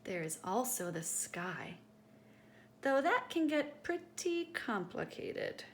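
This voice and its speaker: Storytime host, female, very lively pitch swings, teacherly read-aloud for young kids, some background noise. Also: slow. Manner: animated, teacherly, addressing young listeners directly. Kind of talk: expressive children's picture-book read-aloud.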